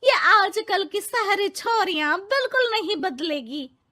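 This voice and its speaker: A woman voicing a scolding, naive elderly mother-in-law in a cartoon story, with loud exclaiming rustic talk. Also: very high voice, lively intonation, unhurried, full character voice. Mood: scolding, indignant.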